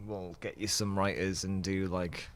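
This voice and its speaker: Low-voiced man in casual chat. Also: level tone, a little slow, slight background noise.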